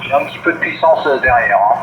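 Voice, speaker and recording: A man's voice: mid-range voice, lively intonation, fast talker, highs cut off. A man chatting casually over CB radio as an operator, hesitating and repeating himself. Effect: CB radio transmission. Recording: very noisy echoey CB radio signal.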